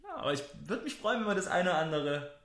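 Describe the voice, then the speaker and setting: A man's voice: sits high, sing-song, fast, fairly bright tone. Male podcast co-host, eager and rambling, recorded in a roomy, echoey space. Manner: enthusiastic, rambling with restarts. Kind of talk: casual chat.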